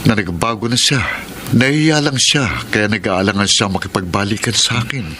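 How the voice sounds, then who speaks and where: A man's voice: on the low side, normal speed, a little hiss or hum. An actor playing a lovesick, torn man with a Filipino accent in a radio drama, speaking with wistful sincerity. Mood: hopeful, defensive. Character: lovesick, hopeful man torn between two women. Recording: loud music under the voice.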